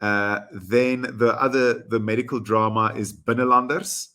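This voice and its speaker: A young South African man with a South African accent, whose first language is Afrikaans, answers interview questions over a video call. He speaks at a middle pitch, warmly and earnestly, pausing often with ums and restarting his sentences. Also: steady tone. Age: young adult. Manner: warm, hesitant, many fillers and restarts. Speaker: podcast guest, actor. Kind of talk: casual interview conversation.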